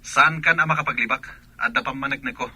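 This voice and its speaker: A man's voice: medium pitch, quick pace, pushed and bright, husky, no room sound. A man playing a jealous, accusing soldier husband in a radio drama, with a Filipino accent. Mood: angry, accusing.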